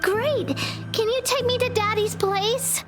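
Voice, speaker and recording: A woman's voice: extremely high pitch, takes it slowly. A woman voicing a trusting, pleading little girl who misses her father, in a video game. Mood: hopeful. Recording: music behind the voice. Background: background music.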